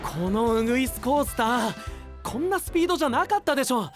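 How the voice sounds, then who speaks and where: Lively, exasperated boyish young knight. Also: male voice, extremely high pitch, soft background music. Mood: exasperated, bossy. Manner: brisk, boyish, exasperated retorts. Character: young male knight, exasperated, diligent royal aide. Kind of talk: anime-style voice drama acting.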